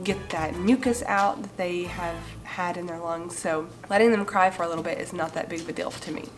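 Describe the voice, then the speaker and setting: Nasal young mom with a cold. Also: female voice, fairly low pitch. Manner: chatty, gestures with hands while explaining. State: has a cold, slightly raspy and nasal. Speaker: mom vlogger. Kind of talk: casual parenting vlog to camera. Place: bright living room indoors.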